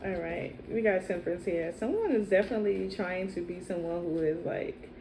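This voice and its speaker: A woman with a low voice, doing a casual tarot reading in a soft, musing way and repeating phrases as she thinks. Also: expressive, moderate pace, noisy background. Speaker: tarot card reader.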